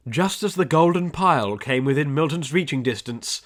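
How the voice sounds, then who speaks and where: A man's voice: a little high, lively intonation, moderate pace. A man reading a scripted adventure story as an audiobook narrator, with dramatic delivery.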